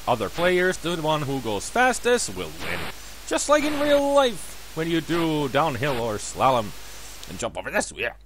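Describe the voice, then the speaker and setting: A man's voice: medium pitch, background noise. Sore-throated man commenting a retro game. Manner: rambling, self-correcting, bursts of excited cheers. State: sore throat, voice lower than usual. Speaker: let's player. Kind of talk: casual gameplay commentary.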